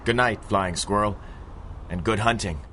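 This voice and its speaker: An actor playing an earnest, flustered young police constable in a pulp radio drama. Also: male voice, lowish voice, close to an everyday voice. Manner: flustered, halting. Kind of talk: radio drama acting in 1930s pulp style. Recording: noisy background.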